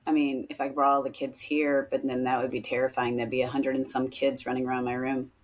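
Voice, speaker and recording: A woman's voice: a bass voice, little pitch movement, brisk, low-quality recording. A woman co-hosting a podcast, chatting casually and jumping between topics. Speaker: podcast co-host; teacher. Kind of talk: casual chat.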